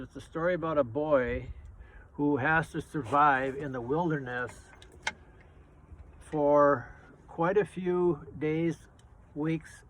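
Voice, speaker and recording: Man in his seventies, slightly high voice, halting, plain-spoken, casual show-and-tell for kids, faint background noise. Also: low-quality recording. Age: 70s. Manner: halting, plain-spoken, explains things simply. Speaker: grandfather, guest reader and outdoorsman.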